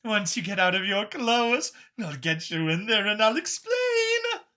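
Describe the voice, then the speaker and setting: Man putting on an excitable, high-pitched alien woman. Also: a little slow, heavily acted. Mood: eager, gleeful. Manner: eager, bubbly explaining. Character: excitable female alien inventor, gleeful and eager.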